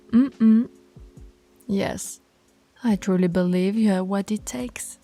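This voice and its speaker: A woman's voice: on the high side, animated, extremely slow, warm tone, husky, soft background music, exaggerated voice. A woman voicing a dominant female werewolf pack alpha in an audio roleplay, teasing and commanding, often dropping to a whisper. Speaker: voice actress.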